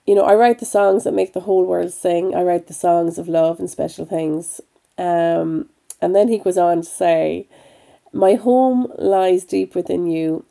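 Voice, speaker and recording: A middle-aged woman hosts her podcast with eager, encouraging energy, sprinkling her talk with ums and you knows. Her voice has a gravelly fry and sounds a bit croaky this week. The tone is mellow and soft-edged rather than bright. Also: on the low side. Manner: enthusiastic, encouraging, with fillers. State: slightly croaky voice. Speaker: podcast host. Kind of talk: upbeat motivational podcast talk.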